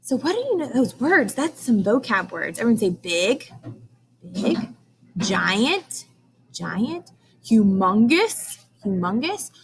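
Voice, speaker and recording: A woman's voice: fairly high pitch, big pitch swings, slow. Woman teaching young children, noisy recording. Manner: prompting with questions, enunciating key sounds and words. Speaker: early-grades teacher. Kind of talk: read-aloud lesson for young children.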